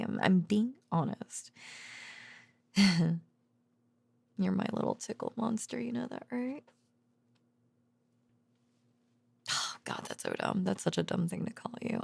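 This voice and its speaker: Woman acting a sleepy, creaky-voiced, doting girlfriend. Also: mid-range voice, no room sound, exaggerated voice. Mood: bashful, embarrassed. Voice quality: soft, breathy murmur dipping into whispers and creak. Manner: hesitant, rambling, giggly, tender. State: drowsy. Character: affectionate, sleepy, bashful girlfriend, a researcher home from work. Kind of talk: intimate bedtime ASMR roleplay. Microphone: close microphone, dry.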